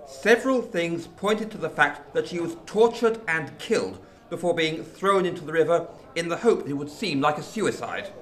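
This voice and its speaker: A man playing a grave, formal senior government official in a radio drama, speaking in measured, articulate phrases. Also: high-pitched, a bit bright.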